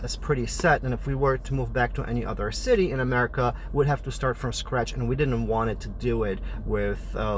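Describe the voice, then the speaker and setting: A man's voice: medium pitch, slight background noise. A middle-aged man from Poland with a Polish accent, whose first language is Polish, explaining things in a direct vlog style with frequent "uh" fillers. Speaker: family travel vlogger.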